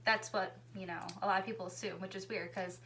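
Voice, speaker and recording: A woman's voice: mid-range voice, steady tone, fast talker, sharp tone, slight background noise, low-quality recording. A woman hosting a casual couple vlog, talking in an easygoing, chatty way and laughing often. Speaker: vlogger hosting a couple tag video with her fiancé. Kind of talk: casual chat.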